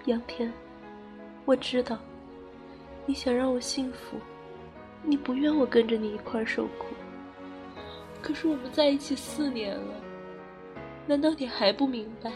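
A woman's voice: sits high, a little slow, pauses often, over music. A young woman playing a spirited, devoted, playfully bossy college-age girlfriend in a Mandarin radio drama. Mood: tender, earnest. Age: young adult.

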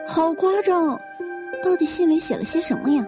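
A woman's voice: very high-pitched, expressive, fairly quick, over music. A woman playing a gossipy, easily shocked young woman in a detective audio drama.

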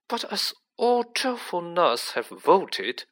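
Man acting out cold aristocratic villain, smooth, condescending, raised pitch, slow sneering drawl, storybook. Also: sing-song, a bit bright, full character voice. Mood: smug, cold.

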